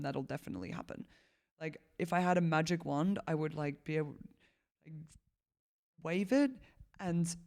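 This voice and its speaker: A woman from Malawi talks as a podcast guest with a gravelly, fry-laden voice. She rambles casually, leaning on "like" as filler and laughing now and then. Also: low voice, a little mellow. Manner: rambling, heavy use of "like" fillers, laughs often. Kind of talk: casual conversational interview.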